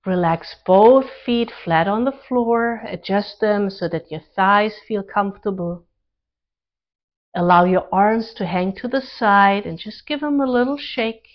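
Slow-paced woman guiding a relaxation meditation. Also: mid-range voice, dull audio. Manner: calm, gentle instruction in short phrases with pauses. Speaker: guided meditation instructor.

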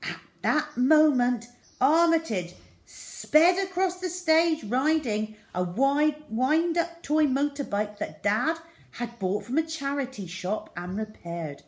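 Middle-aged woman, story reading, slow pace, animated intonation, medium pitch. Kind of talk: expressive children's story reading. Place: small room indoors at home.

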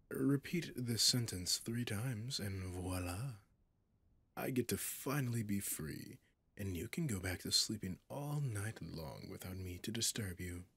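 A man playing a possessive, mocking male demon in an ASMR roleplay, seductive and sinister, with a breathy, often whispering, teasing drawl. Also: fairly low pitch, pushed and bright, no room sound, full character voice.

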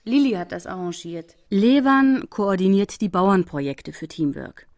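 Woman investigator played by an actress, crime radio play: mid-pitched, determined, factual diary narration, close dry sound. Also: animated, measured pace, dry recording, close to an everyday voice. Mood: matter-of-fact. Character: determined female investigator reporting on her travels.

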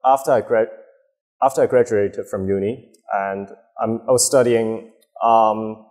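Soft-spoken young man. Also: lowish voice. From Hong Kong. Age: 20s. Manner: hesitant, many fillers and restarts. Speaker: guest, bar co-owner. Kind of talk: casual interview answers. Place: dim bar set with a host. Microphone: lapel mic.

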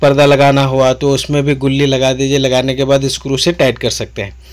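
A man's voice: medium pitch, little pitch movement, a little fast. A man with an Indian accent giving an informal product demonstration, explaining in a repetitive way and mixing in English words. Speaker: tool seller demonstrating products.

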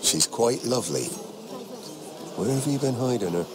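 A man playing a chatty, teasing nobleman who flatters freely in a dramatic video game. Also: medium pitch, normal speed, noisy background, close to an everyday voice. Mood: smoothly sociable.

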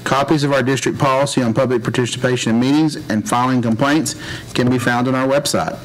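A man's voice: medium pitch, fairly even intonation, fast talker, poor audio. A man presiding over a school board meeting, reading a formal statement aloud in a meeting room. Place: public meeting room, indoors.

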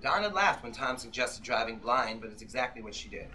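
A middle-aged man giving a tense, deliberate dramatic reading of fiction, like an old-time radio play. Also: medium pitch, lively intonation, sharp tone, slight background noise. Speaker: author reading from his own novel. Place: small bookshop with seated audience, indoors. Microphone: audience member's camera from a few metres. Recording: music behind, live room camera recording. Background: violin accompaniment.

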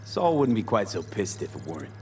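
A man's voice: on the low side, wildly swooping pitch, a little fast, mellow, slight background noise. An actor playing a laconic, streetwise male mercenary in a video game, speaking in a clipped, dry, blunt way. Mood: wry, blunt. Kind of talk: dramatic video game acting.

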